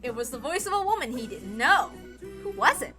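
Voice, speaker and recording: A woman's voice: high-pitched, very animated, brisk, pushed and bright, faint music behind. A woman reading a visual novel aloud as a dramatic narrator. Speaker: narrator, story reader.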